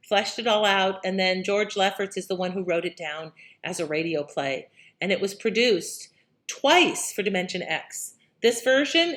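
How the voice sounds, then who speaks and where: A woman's voice: mid-range voice, a bit bright. A woman hosting, giving a casual, conversational introduction to old radio plays.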